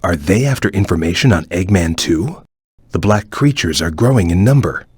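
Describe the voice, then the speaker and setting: A man voicing a stern, watchful cartoon ninja guide in a video game, with a measured, formal, cautious way of advising. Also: deep voice, animated, darkish tone, husky, dry recording, clean studio sound. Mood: watchful, serious. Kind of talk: video game voice acting, short hint lines.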